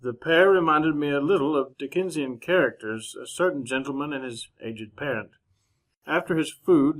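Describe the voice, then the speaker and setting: An actor playing a courteous gentleman journalist in a radio western, speaking in measured, formal, precise words. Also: medium pitch, lightly acted, rough recording. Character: proper, courteous gentleman journalist narrating his western travels. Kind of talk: old-time radio drama narration and dialogue.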